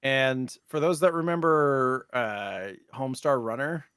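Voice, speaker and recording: A man's voice: medium pitch, unhurried, fairly bright tone, smooth, no room sound. Man chatting casually, dry close sound. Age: 30s. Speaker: podcast co-host. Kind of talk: casual retro gaming chat.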